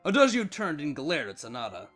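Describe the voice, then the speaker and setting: Animated fiction narrator, mid-range voice between male and female, soft music underneath. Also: mid-range voice, a little fast. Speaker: narrator, fan fiction reader. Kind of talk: expressive scripted storytelling narration.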